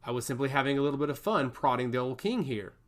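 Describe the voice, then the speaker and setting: A man's voice: medium pitch, lively intonation, fast talker, natural voice. A man voicing a smug, teasing god-touched emissary in a fantasy story, with a needling, theatrical manner. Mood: playful. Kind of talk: fantasy audio story character.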